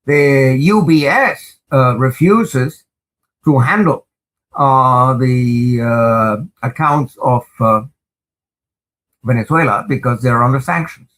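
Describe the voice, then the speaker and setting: An older man, Spanish his first language, talks through his interview answers at a middling pitch. He hesitates often on "uh" while gathering his words, then drives his points home with firm emphasis. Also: fairly bright tone. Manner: measured, frequent "uh" pauses, emphatic. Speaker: guest, international lawyer. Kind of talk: interview answers, recounting experiences.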